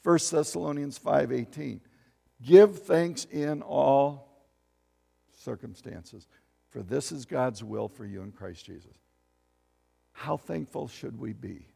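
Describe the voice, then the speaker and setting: A man's voice: medium pitch, takes it slowly, creaky, pauses often, dark tone, husky, big-room sound. A man in his seventies preaching a sermon as pastor, reading scripture aloud and asking the congregation questions. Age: 70s. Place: church sanctuary.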